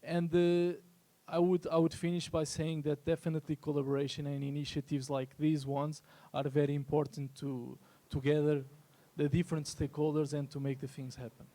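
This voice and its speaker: A man answering on a discussion panel in a halting, formal and polite manner. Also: a little high, little pitch movement, dark tone. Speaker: trade agency representative, panelist. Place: live panel at a symposium.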